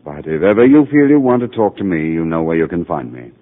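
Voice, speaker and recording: An actor playing a calm, kindly psychiatrist in a 1940s radio drama, with a measured and reassuring delivery. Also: male voice, sits low, no echo. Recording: tinny 1940s radio broadcast, faint music.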